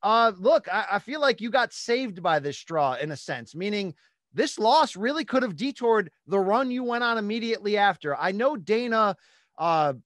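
A man in his forties hosting a sports podcast, recapping and asking questions with emphatic, animated delivery into a close studio mic. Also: high-pitched, normal speed, dry recording. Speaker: podcast host. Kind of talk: sports talk recap and interview. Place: small home studio room. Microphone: close studio microphone on boom arm.

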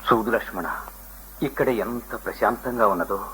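A noble, gentle prince in exile in a mythological radio play. He declaims solemnly and with feeling in a clear-cut, bright voice, on a slightly noisy old broadcast recording. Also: on the low side, moderate pace. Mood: serene, wistful.

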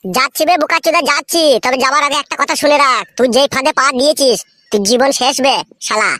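Man doing a squeaky, fast-scolding cartoon buddy. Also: fairly even intonation, bright, forceful tone, dry recording. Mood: fed up. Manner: foul-mouthed, hectoring. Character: scolding, exasperated young male friend. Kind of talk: crude comedy cartoon dialogue.

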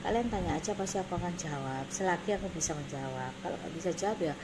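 A woman's voice: on the low side, fast. A woman in her thirties with an East Javanese accent, talking casually to camera in an emphatic, blunt, repetitive explaining way. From Indonesia. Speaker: beauty salon owner and course teacher. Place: small indoor salon office. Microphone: phone at arm's length. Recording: noisy phone recording with room ambience.

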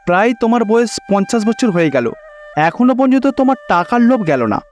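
Man voicing a plaintive, high-voiced poor devout husband. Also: very animated, fast, lo-fi sound, faint music behind. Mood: grumbling, wistful. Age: middle-aged. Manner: plaintive, pleading. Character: poor pious old husband yearning for pilgrimage. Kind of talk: Bengali cartoon story dubbing.

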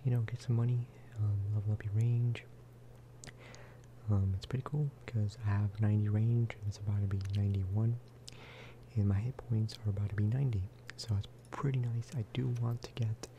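A man giving a relaxed, casual ASMR gameplay commentary in a soft, breathy whisper, close to the microphone. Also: lowish voice, a little hiss or hum. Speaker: video game streamer and host.

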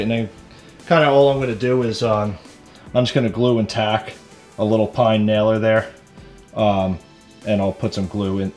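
A man's voice: fairly low pitch, a little mellow. A man in his thirties talking casually to camera in a kitchen, explaining his renovation work step by step. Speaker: host, DIY home renovator. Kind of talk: casual vlog talk while working. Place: indoors, kitchen under renovation. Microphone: handheld camera at arm's length.

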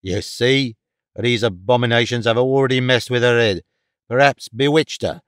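A man voicing a gruff, domineering, bigoted father in an audiobook, curt and commanding. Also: medium pitch, fairly even intonation, moderate pace, a bit bright, no room sound, clean studio sound, natural voice. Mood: angry, scornful. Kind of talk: audiobook character dialogue.

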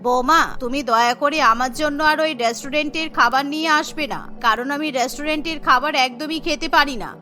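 A woman plays a stubborn, middle-aged cartoon mother-in-law with a bright, fairly high voice. She speaks firmly and admonishingly over music. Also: fast talker, few pauses. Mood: stubborn refusal.

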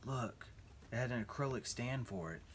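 A man in his thirties talks casually while digging through boxes in a storage unit, his voice sitting in the middle of the usual range. He marvels aloud at each find. A loud hiss of background noise runs under him. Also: mid-range voice, normal speed. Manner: excited, marveling exclamations while unpacking. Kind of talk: casual unboxing vlog. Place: cluttered storage unit, indoors.

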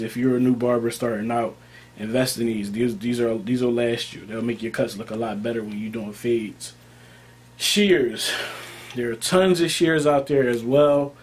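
A young man with an American accent talks casually to the camera like a barber showing his tools. His voice sits at a middle pitch. He is informal and emphatic, coming back to key words to drive them home. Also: a little mellow, husky. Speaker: barber and video host. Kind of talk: casual product talk to camera.

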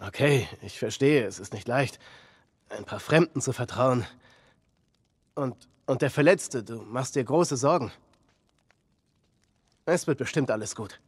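An actor playing a calm middle-aged survivor leader in a German game dub, softly persuasive and measured, soothing yet menacing. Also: male voice, mid-range voice, breathy edge, no room sound, studio quality. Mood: friendly, coaxing.

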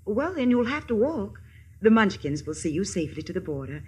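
A woman's voice: medium pitch, sing-song, a little fast, a little mellow, slightly rough. An actress playing a kindly, self-assured good witch, grand and soothing, in a 1950s radio play. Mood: reassuring. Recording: old radio broadcast with music behind.

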